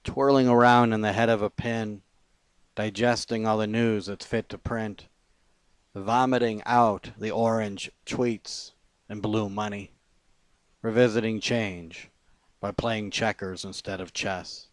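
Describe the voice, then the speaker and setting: A man with a fairly low voice gives a spoken-word poetry reading. He phrases deliberately, pausing between short lines. The sound is dry, with very little room around the voice. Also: fairly even intonation, no echo. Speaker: poet.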